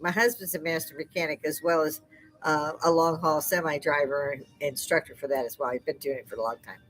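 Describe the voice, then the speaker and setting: Older woman, loud and laughing, a live-stream host chatting at her desk mic. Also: deep voice, steady tone, fairly quick, low-quality recording. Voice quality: loud speaking voice. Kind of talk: casual live-stream chat. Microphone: desk microphone.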